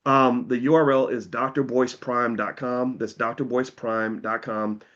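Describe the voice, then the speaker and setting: A man with a slightly hoarse voice talks straight to his viewers in a casual, persuasive pitch. He speaks in plain terms, stumbling and repeating words as he goes. The audio sounds a little dull and lo-fi. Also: little pitch movement, measured pace, fairly bright tone. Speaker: host pitching an investing course.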